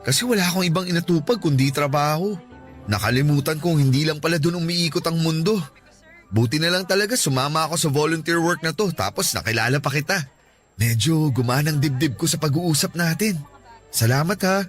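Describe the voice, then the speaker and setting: Shy, higher-voiced young Filipino man, played by an actor. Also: lively intonation, brisk, lightly acted. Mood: earnest. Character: shy, earnest young man, introverted first-time volunteer. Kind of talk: Tagalog radio drama acting, conversational. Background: background music.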